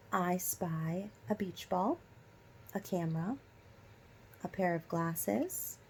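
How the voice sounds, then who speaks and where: A woman's voice: lowish voice, a little mellow, a little hiss or hum. A woman teacher reading a children's story aloud and prompting listeners with instructive questions.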